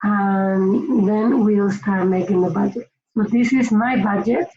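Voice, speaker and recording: A woman from Spain with a Spanish accent gives informal instructional tips to camera in a slightly hesitant, halting way. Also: mid-range voice, fairly even intonation, mellow. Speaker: finance consultant giving budgeting tips. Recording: roomy echo, dull lo-fi sound, faint noise.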